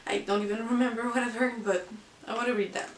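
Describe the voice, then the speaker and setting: A woman who is not a native English speaker, chatting casually about books as a vlogger in a halting, hesitant way. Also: fairly high pitch, fairly even intonation. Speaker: book vlogger. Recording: roomy, distant mic with hiss.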